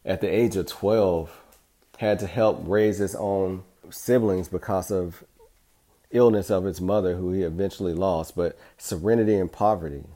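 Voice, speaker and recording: Middle-aged man, very deep voice, soft warm tone, earnest, encouraging, reflective motivational podcast monologue. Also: moderate pace. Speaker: podcast host.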